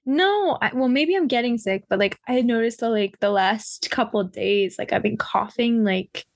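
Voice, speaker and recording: A hoarse woman co-hosting a podcast, rambling in a casual chat with lots of fillers. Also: a little high, moderate pace, dry recording, clean studio sound. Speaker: podcast co-host.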